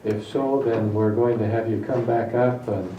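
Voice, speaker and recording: A man presides over a public meeting, welcoming people in short, polite phrases. He sits well back from the microphone in a reverberant chamber. The sound is muffled and carries steady background noise. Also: lowish voice, moderate pace. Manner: brief, courteous and welcoming. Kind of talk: formal public meeting remarks. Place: council chamber. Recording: distant mic, echoey chamber, dull and noisy.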